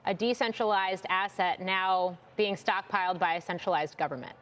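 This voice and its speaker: Mid-pitched woman interviewing on television. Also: fairly even intonation, normal speed. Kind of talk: broadcast interview questioning.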